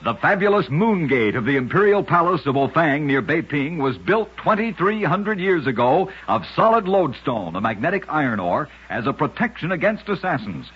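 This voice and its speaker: A man tells strange true tales in the style of old-time radio. His voice sits fairly high and is very husky, with emphatic, dramatic phrasing. The recording is muffled and dated. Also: big pitch swings, normal speed, few pauses. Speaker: radio host and storyteller. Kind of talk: old-time radio scripted narration of curious facts.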